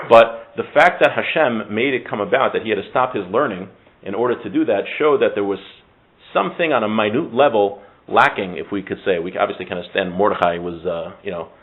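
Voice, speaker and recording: A man's voice: fairly low pitch, phone-quality sound. A man teaching an informal religious class, making his points with emphatic repetition and rhetorical questions to his students.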